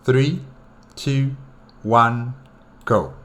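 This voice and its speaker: A man hosting a reaction show, giving casual commentary in a halting way, thinking aloud and repeating himself. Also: medium pitch, fairly bright tone. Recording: noticeable background noise.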